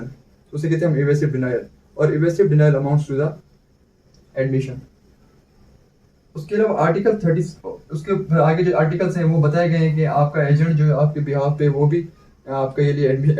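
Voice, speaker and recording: Male teacher, Pakistani accent, slightly high mellow voice, explaining step by step through self-posed questions. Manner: asks himself questions and answers them. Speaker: law teacher. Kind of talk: explanatory exam-prep lecture in Urdu mixed with English terms. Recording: dull, echoey room, distant mic.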